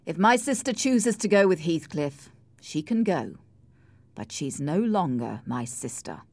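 A woman voicing an anxious, grieving gentleman husband in an audiobook, speaking earnestly and sorrowfully. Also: lowish voice, sing-song, a little slow, no echo, heavily acted. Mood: sad, firm. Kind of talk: audiobook character acting.

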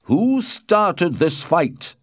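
A man playing a stern prince in a storybook, loud and authoritative as the character passes judgement. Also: high-pitched, big pitch swings, extremely slow, no echo, clean studio sound, pitched above the performer's own voice, exaggerated voice. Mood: stern, commanding.

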